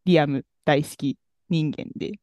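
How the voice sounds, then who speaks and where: A man co-hosting a podcast, telling a story in a relaxed, rambling way with many fillers. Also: fairly high pitch, unhurried, no echo, clean studio sound. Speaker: podcast co-host. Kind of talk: casual chat about music.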